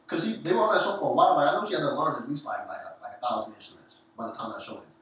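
Young man, Southern US accent with Jamaican touches, animated slangy chatter, roomy echo, distant mic. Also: on the high side, very fast. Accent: Southern US mixed with Jamaican. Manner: animated, laughing, lots of slang and fillers. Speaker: podcast co-host. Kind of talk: casual chat. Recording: echoey room, distant mic, faint hiss.

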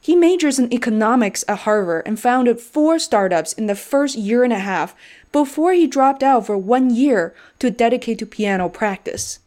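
A woman hosting her own podcast, with a fairly high voice. She reads her introduction from a script and then turns to easy, encouraging conversation with her guest. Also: animated, normal speed, darkish tone. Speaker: podcast host.

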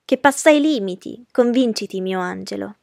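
A woman's voice: medium pitch, lively intonation, moderate pace, creaky, darkish tone, no echo. Older society widow, played by a female reader: haughty, emphatic, fussing, storybook grande dame, dry recording. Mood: pleading. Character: pompous aristocratic older widowed mother, indignant, fussing.

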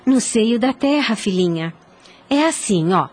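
An actress playing a tender, devout, sorrowful mother in a radio soap opera, with a husky voice and a gentle, heartfelt manner. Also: fairly high pitch, animated, unhurried.

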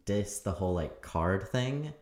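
A young man with a very low, flat monotone voice, recapping a TV episode in loose, rambling casual chat. He laughs often and fills his sentences with "like". The room around him is echoey. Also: a little slow. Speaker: podcast co-host.

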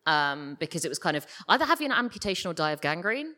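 A woman's voice: sits low, big pitch swings, quick pace, pushed and bright. A woman guest in a casual live stage interview, talking in a hesitant, wry way. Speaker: interview guest, audio drama writer. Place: live stage at a podcast festival.

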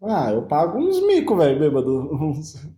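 A young man chats in an animated, slangy way and swears freely. His voice sits a little high but sounds mellow and warm. The room around him is echoey. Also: normal speed, slight background noise. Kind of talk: casual chat.

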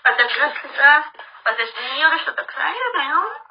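A woman's voice: high-pitched, big pitch swings, moderate pace. A woman playing a pouty, fed-up mistress who nags and pleads with her married lover in an amateur radio drama. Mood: fed up, reproachful. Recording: muffled, distant microphone.

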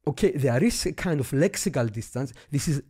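A man's voice: mid-range voice, animated, brisk, mellow, husky. A man with a Greek accent, teaching history in a casual lesson, eagerly explaining and thinking aloud as he points things out.

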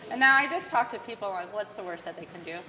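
A woman in her thirties from the Philippines tells stories in a chatty way and often breaks into laughter. Her voice carries a long reverb, and clear background noise runs beneath the casual chat. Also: mid-range voice, lively intonation, quick pace, sharp tone. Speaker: podcast host. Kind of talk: casual chat over coffee. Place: coffee shop.

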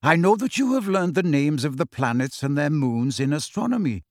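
A man acts out a proud, solemn centaur teacher from a storybook. His pitch sits high for a male voice and moves widely, while the delivery stays measured, formal and aloof. The sound is close and dry. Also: lively intonation, no echo. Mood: calm, measured. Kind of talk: acted audiobook dialogue.

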